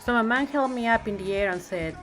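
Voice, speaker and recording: A woman's voice: a little high, fairly quick, fairly bright tone, faint music behind, low-quality recording. A woman narrating a children's picture book aloud. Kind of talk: storybook read-aloud narration.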